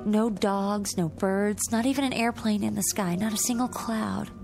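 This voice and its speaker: Actress as uneasy young woman with creaky voice. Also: mid-range voice, fairly even intonation, moderate pace, music in the background, lightly acted. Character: anxious young woman stranded in eerie empty town. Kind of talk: radio drama acting.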